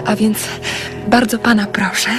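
A woman's voice: medium pitch, flat delivery, unhurried, lightly acted. Actress doing coy young woman in radio drama, careful but playful, kinda hesitant, music behind her. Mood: coy, earnest. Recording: radio play with music underneath.

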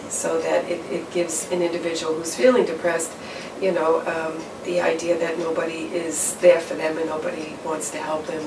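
A middle-aged woman answering interview questions as a campus counselor, measured and explanatory, with frequent fillers and restarts. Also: low-pitched. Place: office or studio in front of a backdrop. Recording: roomy, distant mic with background noise.

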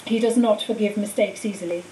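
A woman voicing a cold, treacherous male wizard teacher in an audiobook, speaking in a calm, menacing, matter-of-fact way. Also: mid-range voice, a little fast, natural voice. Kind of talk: audiobook character voice. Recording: roomy echo, distant mic, faint hiss.

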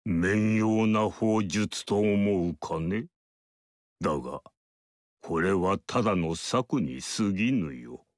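An aloof male mystic sage, calm and superior,. He talks slowly and in a measured, lofty way, and his pitch swings widely over a lowish voice. The recording is dry and close. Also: no echo. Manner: measured, lofty archaic speech. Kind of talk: game character voice lines.

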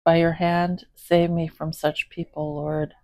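A low-voiced woman talks slowly and casually at home, recorded off-camera on a phone. She breaks into apologetic asides before settling into a steady reading of a psalm. The sound is close and dry, with no room echo. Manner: slow, chatty, apologetic asides. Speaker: host reading scripture. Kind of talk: casual chat and Bible reading aloud. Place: home, indoors, voice behind camera filming a cat.

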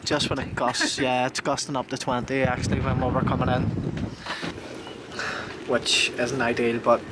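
A man's voice: mid-range voice, moderate pace. A man, chatting casually to a handheld camera while sailing a small boat. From Northern Ireland. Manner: relaxed, informal, chatty, with easy fillers. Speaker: sailing vlogger. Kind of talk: casual on-board narration. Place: outdoors on a small sailboat and in its cabin. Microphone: action camera held close. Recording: windy outdoor camera audio. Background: wind and water noise.

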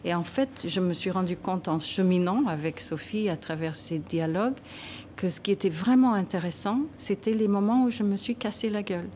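A woman's voice: mid-range voice, normal speed, mellow, a little hiss or hum. A woman in her sixties from Canada, a guest author talking thoughtfully and emphatically in a TV studio interview at a desk microphone. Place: TV news studio.

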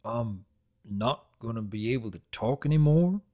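A man's voice: medium pitch, animated, normal speed, warm tone, natural voice. A man voicing a taciturn, downcast male farm pony, terse and folksy, in a dramatic reading of a cartoon story. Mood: stunned.

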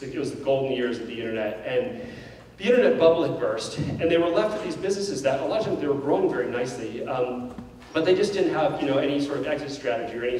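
Fast-talking man in an echoey hall. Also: mid-range voice, recorded from a distance, a little hiss or hum. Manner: animated, gestures while pacing the stage; candid, self-deprecating. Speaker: keynote speaker. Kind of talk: conversational keynote storytelling. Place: large indoor auditorium stage. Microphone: handheld mic.

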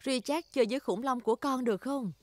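Casting: actress as patient cartoon mum pig, coaxing, soothing, fairly high voice. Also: female voice, quick pace, heavy background noise, natural voice. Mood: gentle. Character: gentle, patient mother pig. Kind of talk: Vietnamese cartoon dub.

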